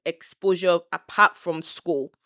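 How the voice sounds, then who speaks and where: A middle-aged woman with a Nigerian accent, co-hosting a casual podcast chat, animated and full of "you know"s. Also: fairly low pitch, takes it slowly, dry recording, studio quality. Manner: animated, restarts phrases, frequent "you know"; public speaker. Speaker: podcast co-host.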